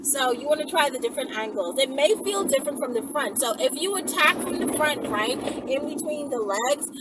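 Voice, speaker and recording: A woman's voice: high-pitched, moderate pace. A woman in her thirties chatting casually and emphatically as she gives step-by-step instructions, often checking in with the listener. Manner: animated, emphatic, checks in with "right?" and "okay?". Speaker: vlogger giving instructions. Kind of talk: casual instructional chat to camera. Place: inside a car, daytime. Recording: distant mic with loud vehicle noise. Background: loud car and road noise.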